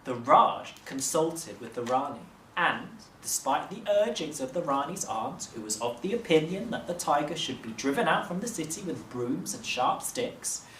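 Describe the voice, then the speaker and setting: A man narrating a children's story expressively, like a teacher reading aloud, with measured dramatic phrasing. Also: mid-range voice, a bit bright. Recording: roomy, distant mic, faint noise.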